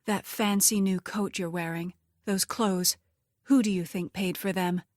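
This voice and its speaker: A woman's voice: medium pitch, fairly even intonation, a little slow, darkish tone, no room sound, studio quality, close to an everyday voice. A woman voicing a scheming, threatening female crook in an audiobook, with a taunting, condescending manner. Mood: menacing. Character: scheming, threatening, vain woman crime boss's underling.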